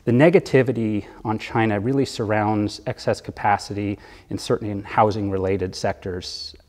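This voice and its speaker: A man being interviewed as a bond fund manager, explaining economics in a measured way with occasional fillers. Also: on the low side, fairly even intonation, a little mellow, long reverb tail.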